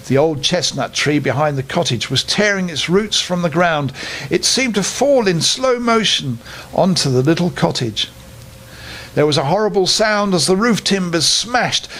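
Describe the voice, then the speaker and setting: An older man reading a children's bedtime story aloud in an expressive, unhurried, dramatic storytelling manner. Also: a little high.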